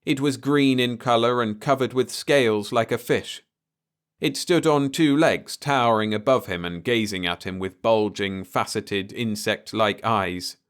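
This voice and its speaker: A man reads scripted fiction as an audiobook narrator. His voice is middle in pitch, with a clean, resonant tone. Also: a little slow, clear, resonant tone.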